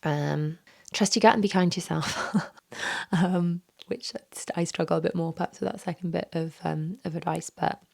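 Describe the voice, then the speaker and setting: A woman in her thirties hosting her own podcast from a home office, hoarse from a recent illness, chatting candidly with lots of fillers into a microphone. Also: lowish voice, steady tone, normal speed, a little mellow. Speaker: podcast host. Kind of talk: casual solo podcast chat. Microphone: studio microphone.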